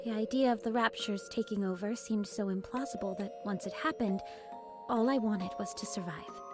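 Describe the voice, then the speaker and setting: A woman's voice: medium pitch, normal speed, over music, natural voice. An actress playing a spirited, determined female squad leader in an anime game, earnest and slightly formal. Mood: wistful. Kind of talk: scripted anime game voice acting.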